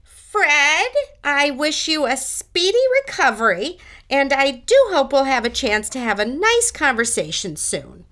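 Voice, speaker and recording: A woman's voice: high voice, very animated, a little slow, bright, forceful tone, heavily acted. A woman playing a chatty, genteel older neighbor lady in an audio drama, with gracious, old-fashioned manners.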